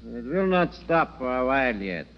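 An actor playing a sly, threatening criminal with a mocking, sneering manner, in 1940s radio drama style. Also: male voice, mid-range voice, very animated, moderate pace. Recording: dull noisy radio, long reverb.

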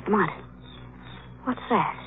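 An actress playing a curious, spirited young woman in an old radio drama, quick and inquisitive. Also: natural voice. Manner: quick, inquisitive, easily alarmed. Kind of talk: 1930s radio drama acting. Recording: dull old radio broadcast with faint noise.

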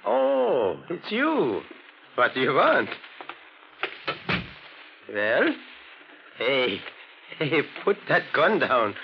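An actor playing a frightened, blustering middle-aged shopkeeper, agitated and pleading, in 1940s radio style. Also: male voice, a little high, huge pitch swings, extremely slow, vocal fry, long pauses, full character voice. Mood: alarmed pleading. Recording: muffled, noisy old radio recording.

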